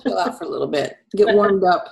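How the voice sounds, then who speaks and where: A woman in her forties with a cold, hosting a casual video call chat, chatty and quick to laugh. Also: on the low side, vocal fry, a little mellow. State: snuffly from a cold. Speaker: host.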